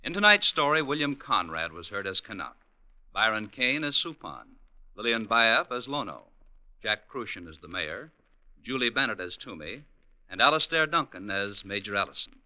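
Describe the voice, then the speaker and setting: A man's voice: fairly low pitch, very animated, normal speed, pushed and bright. An American man announcing credits and promos in an emphatic, polished 1950s radio style, on a vintage broadcast recording with music behind him.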